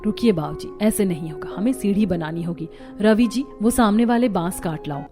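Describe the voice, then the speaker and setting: A woman's voice: medium pitch, normal speed, over music, close to an everyday voice. A woman playing a resourceful young daughter-in-law in a cartoon story, earnest, respectful and determined. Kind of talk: Hindi cartoon story voice acting.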